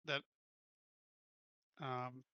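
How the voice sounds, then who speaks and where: A man's voice: mid-range voice, flat delivery, very slow, pushed and bright, dry recording, muffled recording. A man chatting casually as a player in a tabletop game, blunt and informal in how he talks. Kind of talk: casual chat during a game.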